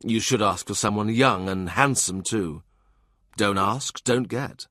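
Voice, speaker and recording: A man playing a self-made cardinal's man, a widowed father who speaks in a measured, gentle, shrewd way. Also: fairly low pitch, no room sound, clean studio sound. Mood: assured, wry.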